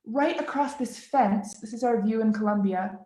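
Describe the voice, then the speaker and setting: A woman children's book author talking casually and interactively to children on a video call, expressive and encouraging, often asking questions. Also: on the high side, moderate pace, slight background noise. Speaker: children's book author giving a read-aloud.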